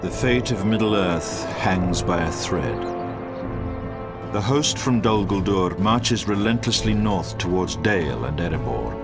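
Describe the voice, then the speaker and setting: A man's voice: low-pitched, animated, a little slow. A man narrating an epic fantasy war game in a grave, portentous, scripted storytelling manner. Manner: solemn, portentous. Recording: loud music under the voice. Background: loud music.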